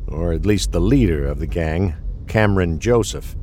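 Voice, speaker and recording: Man playing a low, gruff veteran detective. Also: normal speed, a little mellow, a little hiss or hum, natural voice. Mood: grim recounting. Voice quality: low-pitched. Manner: curt, world-weary, swearing. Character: weary, gruff veteran police detective nearing retirement. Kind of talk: audio drama acting.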